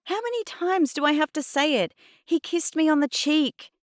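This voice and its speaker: A woman's voice: sits high, lively intonation, moderate pace, no room sound, studio quality, pitched above the performer's own voice. A woman voicing an indignant, hot-tempered teenage girl in dramatised audiobook dialogue, exclaiming defiantly. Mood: hurt, defiant.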